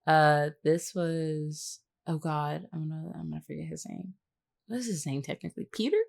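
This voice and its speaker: A woman with a very low-pitched voice speaks in casual podcast conversation. Her manner is wry and teasing, like a co-host sharing her notes. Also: moderate pace, gravelly, a little mellow. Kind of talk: casual behind-the-scenes podcast chat.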